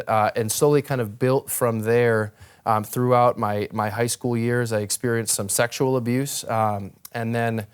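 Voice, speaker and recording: Smooth, clear young American man. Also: medium pitch. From northern Wisconsin, United States. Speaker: interview guest, ministry worker. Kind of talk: conversational personal storytelling.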